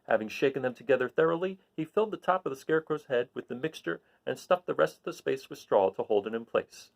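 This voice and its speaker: A man reading a storybook aloud as an expressive narrator. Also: medium pitch, fast, gravelly. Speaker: storybook reader.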